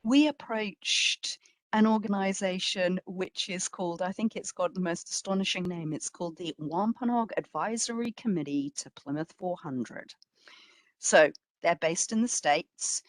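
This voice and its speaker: A woman delivers a history lecture at a very unhurried pace, in a slightly low voice. She stresses key phrases and puts questions to her listeners as she goes. The sound is dry and close, with little room around it. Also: dry recording. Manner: measured, emphatic phrasing with rhetorical questions. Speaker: exhibition curator giving a history talk. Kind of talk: public lecture, presenting to an audience with slides.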